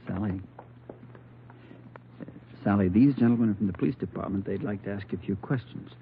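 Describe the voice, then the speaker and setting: A man playing a gentle, protective hospital doctor in an old radio drama, courteous and careful. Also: on the low side, fairly quick, slight background noise, lightly acted. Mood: soothing. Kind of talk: 1950s radio drama acting. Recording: muffled old radio recording, faint music.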